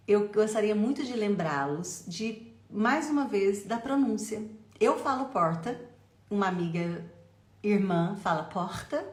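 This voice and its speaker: A Brazilian woman with a Brazilian accent and a medium-pitched voice, running a lively English pronunciation lesson. She slows down to drill short phrases for the listener to repeat. The sound is a bit roomy and echoey. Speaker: English teacher.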